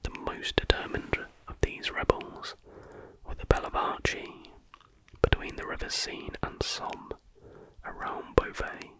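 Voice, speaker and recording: Hushed, fully whispered ASMR reader. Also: measured pace, rough recording. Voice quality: unvoiced breathy whisper. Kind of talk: whispered ASMR reading of a history text.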